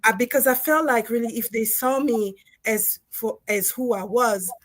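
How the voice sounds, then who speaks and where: A woman's voice: fairly high pitch, normal speed, no room sound. A woman from Congo whose first language is French, a dentist and co-author talking through her experience in a casual livestream, reflective and full of fillers. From Democratic Republic of Congo. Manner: reflective, storytelling with frequent fillers and laughs.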